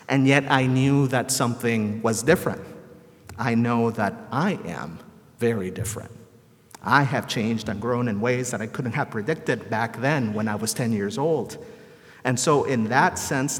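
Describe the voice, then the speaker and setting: Male preacher, medium-pitched, measured rhetorical sermon delivery, recorded in a large hall with long reverb. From El Salvador. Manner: measured, rhetorical repetition and deliberate phrasing.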